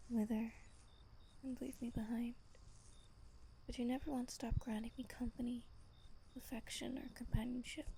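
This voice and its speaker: A woman playing an ancient, wistful vampire lover in a soft, breathy ASMR roleplay, telling her tale slowly and intimately. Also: on the high side, a little hiss or hum. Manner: gentle, slow, intimate storytelling.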